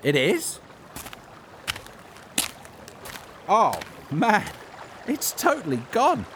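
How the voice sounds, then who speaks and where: A nervous, whiny guide in a comedic radio play. His high voice swings wildly up and down as he stammers through evasive excuses. Water sounds run clearly behind him. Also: huge pitch swings, slow, noisy background, full character voice. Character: nervous, reluctant male woodland guide, evasive and eager to please. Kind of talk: comedic audio drama acting.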